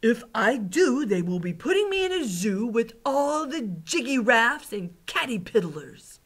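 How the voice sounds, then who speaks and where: A woman's voice: fairly high pitch, big pitch swings, unhurried, pitched above the performer's own voice. A woman voicing a big, friendly but stubborn and gloomy male giant from a storybook, talking in mangled folksy grammar with invented words. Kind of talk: storybook character read aloud.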